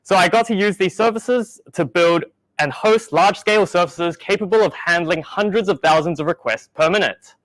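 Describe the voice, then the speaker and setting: A young man raised in New Zealand giving a measured, explanatory tech talk at a meetup, with the odd dry joke. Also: high-pitched, a bit bright, dry recording, rough recording. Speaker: meetup speaker.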